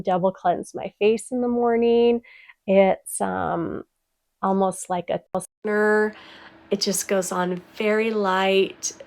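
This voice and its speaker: Sick young woman vlogger, nasal and stuffed up, creaky, dry close sound, unhurried. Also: mid-range voice, no echo. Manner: chatty, frequent "um" fillers, soft-spoken. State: congested, stuffed-up voice. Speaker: beauty vlogger. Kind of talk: casual product review.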